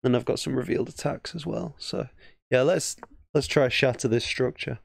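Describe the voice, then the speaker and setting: A man's voice: medium pitch, normal speed, creaky, dry recording, studio quality. A man with an English accent giving casual, thinking-aloud game commentary as a chess streamer, often muttering half-finished thoughts. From England.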